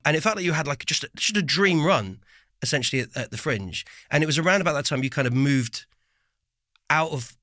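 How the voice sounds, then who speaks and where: A man's voice: on the high side, lively intonation, fairly quick, bright, forceful tone, dry recording, clean studio sound. A man co-hosting a podcast, asking loose, rambling questions full of fillers. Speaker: podcast co-host. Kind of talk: casual podcast conversation. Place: recording studio.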